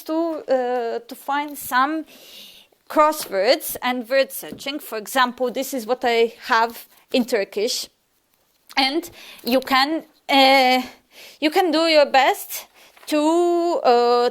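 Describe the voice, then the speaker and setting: A woman's voice: high voice, takes it slowly. A woman whose first language is Serbian, giving a casual language lesson and answering a viewer, with frequent hesitations and restarts. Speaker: language teacher.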